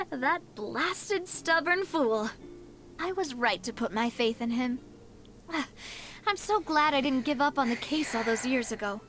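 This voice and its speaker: High-voiced confident young noblewoman. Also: animated, slow, pauses often, a bit bright, full character voice. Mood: relieved. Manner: breezy, self-assured, theatrical. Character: Navia, confident cheerful young noblewoman leader. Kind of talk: anime-style video game voice acting. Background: game music.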